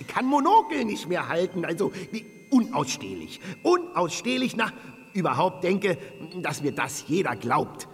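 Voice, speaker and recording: An actor playing a snobbish, monocled frost giant in a children's radio play, speaking in a clipped, haughty military drawl. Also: male voice, high voice, wildly swooping pitch, a little slow, slightly rough, in a large hall, full character voice. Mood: haughty, indignant.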